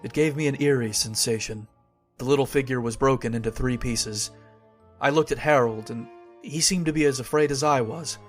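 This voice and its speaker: Radio horror drama lead: male actor as skeptical, haunted storyteller, medium pitch, faint music underneath. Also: normal speed, natural voice. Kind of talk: old-time radio horror narration.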